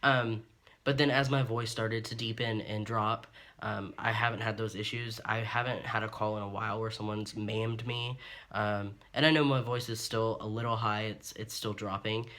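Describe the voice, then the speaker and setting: A man's voice: lowish voice, fairly even intonation, moderate pace, bright, forceful tone. A young man in his twenties from Ohio, talking openly and earnestly to camera in a rambling vlog full of ums and restarts. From Ohio, USA. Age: 20s. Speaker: vlogger. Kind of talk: candid personal monologue to camera.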